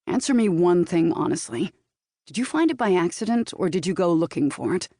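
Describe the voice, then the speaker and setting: A woman voicing a shrewd, teasing male vampire lover in audiobook dialogue, knowing and flirtatious in a low-key way. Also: sing-song, fairly quick, dark tone, no room sound, clean studio sound. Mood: probing.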